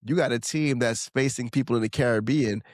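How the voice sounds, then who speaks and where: A man's voice: mid-range voice, brisk, dry recording. Podcast dude, mid voice, laughs through his stories, does little voices, dry mic. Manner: laughs while talking, acts out quoted voices. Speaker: podcast co-host. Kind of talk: casual chat.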